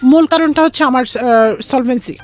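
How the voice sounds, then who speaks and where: A woman's voice: high voice, normal speed, soft background music. A young woman with a Bangladeshi accent tells her life story in an interview, quoting conversations and mixing in English words.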